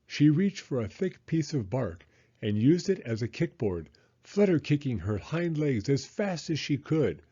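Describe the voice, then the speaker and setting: A man narrating a children's story as an expressive read-aloud. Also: mid-range voice, measured pace, husky. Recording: slightly dull, muffled audio.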